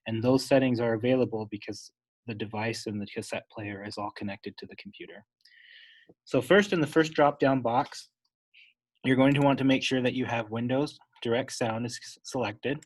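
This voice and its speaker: A man giving an unscripted, instructional step-by-step software tutorial, talking wordily and correcting himself as he goes. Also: mid-range voice, moderate pace, rough recording. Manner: instructional, wordy, with self-corrections.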